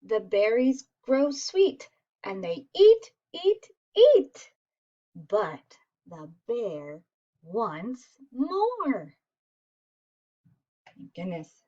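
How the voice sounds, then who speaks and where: Female picture-book reader, unhurried and expressive, with a high voice, on a slightly lo-fi recording. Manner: expressive, asks listeners questions. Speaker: storyteller. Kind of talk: animated children's picture book read-aloud.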